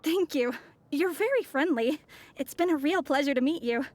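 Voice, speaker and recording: A poised mysterious traveler. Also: female voice, sits high, expressive. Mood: courteous. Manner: soft-spoken, courteous, measured. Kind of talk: video game voice acting.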